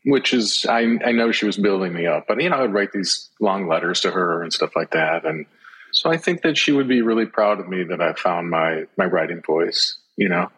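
A middle-aged man as an interview guest, telling personal reflections in a thoughtful, halting way. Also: deep voice, lively intonation, a bit bright, slightly rough, rough recording.

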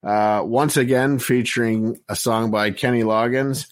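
A man's voice: mid-range voice, unhurried, no echo. Man reading a track list, dry sound. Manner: plain and steady, with many "uh" fillers. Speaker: podcast host.

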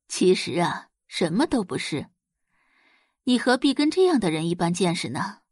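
Female performer as middle-aged rich mother, doting, haughty, expressive pitch, close dry sound. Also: moderate pace, husky, dry recording. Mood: dismissive. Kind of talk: Chinese audio drama acting.

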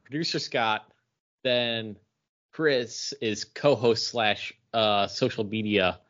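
A man's voice: on the low side, steady tone, slow pace, fairly bright tone, dull audio. A man co-hosting a podcast in casual conversation, joking in a self-deprecating way. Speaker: podcast co-host. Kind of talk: casual chat.

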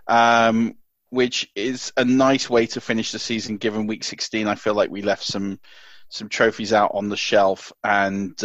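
A man co-hosting a casual fantasy sports chat, loud and chatty, laughing often and rambling a bit. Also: mid-range voice, no room sound. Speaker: podcast co-host. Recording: dull lo-fi dry sound.

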